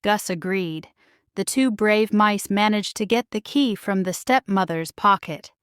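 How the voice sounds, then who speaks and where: Female children's story narrator, crisp enunciation, medium pitch, expressive scripted reading, no room echo. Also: a little slow, clean studio sound. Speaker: storybook reader.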